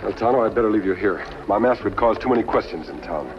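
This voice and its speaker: A man's voice: lowish voice, lively intonation, fast talker, close to an everyday voice. A man playing an upright, courteous masked cowboy hero with firm, formal diction in 1950s western style. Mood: calm, decisive. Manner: firm, formal, clearly articulated. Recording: muffled vintage TV soundtrack, faint music.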